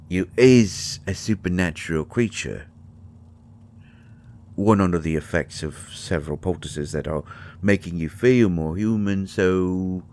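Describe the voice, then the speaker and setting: A man playing a shy, kindly mushroom fairy in a roleplay audio drama, speaking haltingly with folksy, ungrammatical phrasing. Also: low-pitched, a little mellow. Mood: awkward, curious. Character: shy, kindly male mushroom fairy living in magic library. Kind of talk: scripted roleplay audio drama addressed to the listener.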